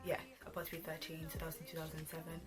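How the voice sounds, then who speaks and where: Young woman from Ghana, lowish voice, casual chatty vlog, conversational, pop music clearly behind her. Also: monotone, very fast. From Ghana, later England from age twelve. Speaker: YouTube vlog host. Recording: background music under voice.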